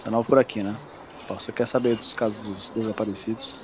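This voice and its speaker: A man's voice: lowish voice, fairly even intonation, fast, over music, close to an everyday voice. A man playing an easygoing small-town bartender in an audio drama, talking in a colloquial, familiar way. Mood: casual.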